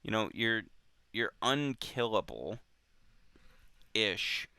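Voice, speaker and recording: A man hosting a casual book discussion, thinking aloud informally in halting bursts. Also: lowish voice, sing-song, pushed and bright, clean tone, no echo. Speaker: book club podcast host.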